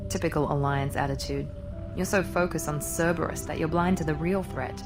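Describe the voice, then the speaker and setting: An actress playing a cool, defensive military operative in a video game, dismissive and pointed. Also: female voice, deep voice, fairly even intonation, a little fast. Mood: scornful. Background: loud music.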